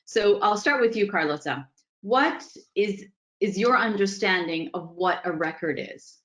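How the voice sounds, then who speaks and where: A woman's voice: medium pitch, animated, unhurried, a bit bright. A woman moderating a virtual conference panel in a conversational style, putting questions to the guests. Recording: roomy, echoing sound.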